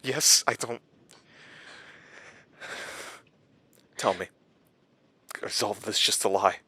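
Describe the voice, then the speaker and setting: An actor playing a haunted, weary, wry man who confides his story haltingly in a horror audio drama. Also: fairly low pitch, sharp tone, slightly rough, dry recording, full character voice. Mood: urgent, pained. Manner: hesitant, confessional, halting. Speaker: lead character and narrator of a horror audio drama. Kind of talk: horror audio drama acting, narration and intimate dialogue.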